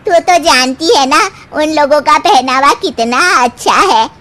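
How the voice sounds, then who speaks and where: A woman's voice: sits high, unhurried, fairly bright tone, voice pushed up. A woman voicing a demanding, nagging older mother-in-law in a family moral story. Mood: scolding, accusing.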